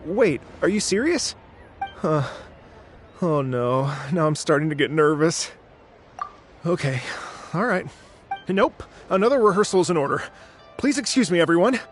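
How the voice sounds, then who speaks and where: A man's voice: on the high side, expressive, moderate pace, pauses often, soft background music, lightly acted. An actor playing an earnest, nervous male musician in a video game, hesitant and fretful. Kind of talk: video game character acting.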